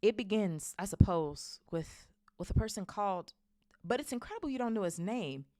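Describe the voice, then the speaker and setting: Woman acting a gruff, blustering big loyal groundskeeper. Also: medium pitch, animated, warm tone, no echo, clean studio sound, pitched above the performer's own voice, close to an everyday voice. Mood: anxious, halting. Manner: blustering, halting, folksy.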